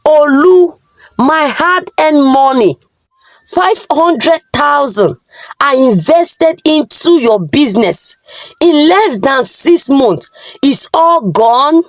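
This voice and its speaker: A woman's voice: high-pitched, expressive, slow pace, dry recording, voice pushed up. A Nigerian-accented woman voicing a stern, responsible elder brother who questions and admonishes in a folktale. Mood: angry, incredulous.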